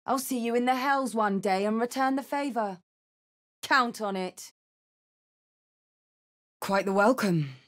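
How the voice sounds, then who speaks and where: A battle-hardened, big-hearted barbarian woman from a fantasy video game. She speaks bluntly and informally, in short punchy lines that suit a brash tiefling fighter. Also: medium pitch. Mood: grim, alert.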